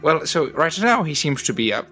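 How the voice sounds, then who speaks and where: A man's voice: fairly high pitch, big pitch swings, normal speed, sharp tone, soft background music. A man playing a tabletop game, asking methodical questions to work through the situation. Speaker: player. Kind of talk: casual tabletop roleplay.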